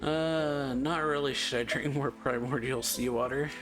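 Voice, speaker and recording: A man doing a casual let's play, reading game dialogue aloud in a halting, offhand way. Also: medium pitch, steady tone, poor audio. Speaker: let's player. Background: game music.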